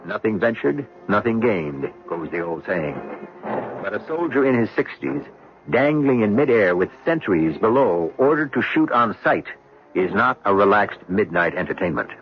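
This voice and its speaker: A male radio mystery host with a very low, gravelly voice. He delivers formal, theatrical narration with sweeping inflection, on a muffled vintage broadcast. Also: big pitch swings, normal speed. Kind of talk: scripted dramatic radio narration. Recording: dull old radio recording, faint music.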